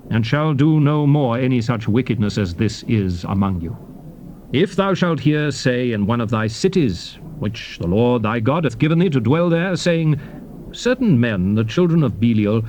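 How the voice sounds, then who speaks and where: A man's voice: medium pitch, a little mellow. Solemn man reading scripture with dramatic swings. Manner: stately, emphatic, declamatory, in short measured phrases. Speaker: narrator of a dramatized Bible reading. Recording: hissy old cassette tape.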